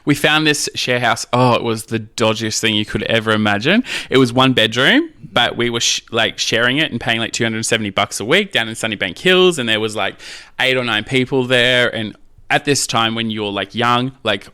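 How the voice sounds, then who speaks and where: An Australian man chatting casually and candidly as a podcast guest, telling stories in a cheeky, animated way and acting out bits of dialogue. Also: mid-range voice, normal speed, clear, resonant tone, no echo, clean studio sound. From Australia, rural Queensland. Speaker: podcast guest, drag queen. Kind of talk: casual candid anecdotal chat.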